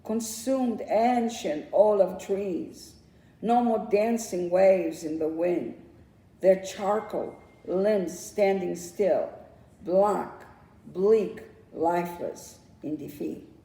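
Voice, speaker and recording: A woman reading her children's story aloud as its author, with dramatic, drawn-out storytelling phrasing. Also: mid-range voice, warm tone. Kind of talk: storybook read-aloud narration. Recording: roomy echo, distant microphone.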